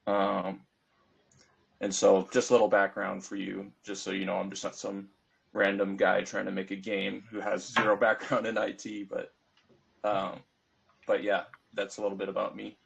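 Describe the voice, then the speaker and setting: A man's voice: lowish voice, completely flat delivery, moderate pace, gravelly, husky. An American man casually introducing himself as a game developer and IT worker. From United States. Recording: dull, lo-fi call audio.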